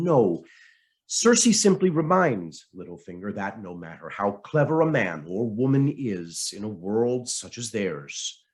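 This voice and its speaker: A man reading a scripted essay aloud as narration, with a theatrical, emphatic delivery and deliberate pauses. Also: medium pitch. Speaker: reader of a political essay.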